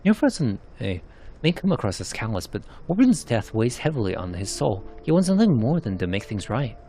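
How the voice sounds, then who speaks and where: A man voicing a courteous, earnest investigator in a fantasy game, with deliberate formal speech. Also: medium pitch, very animated, warm tone, slight background noise. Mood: solemn. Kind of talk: amateur narrated fantasy game cutscenes. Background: music.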